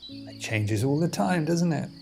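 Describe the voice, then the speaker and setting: A man leading a guided meditation as a teacher, in measured, deliberate phrases with pauses between them. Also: fairly high pitch, lively intonation, creaky, mellow. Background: music and outdoor insect sounds.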